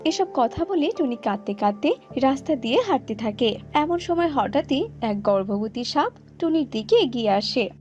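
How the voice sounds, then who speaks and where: A woman's voice: sits high, expressive, moderate pace, hardly any pauses, darkish tone, highs cut off, soft background music. A woman narrating a fairy tale from a script as a storyteller.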